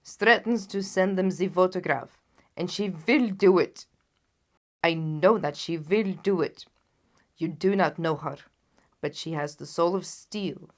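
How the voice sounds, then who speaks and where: A woman's voice: fairly low pitch, unhurried, lightly acted. A woman voicing a haughty, anxious king in a storybook, speaking in a solemn and emphatic way. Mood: grave, anxious. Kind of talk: dramatised audiobook reading.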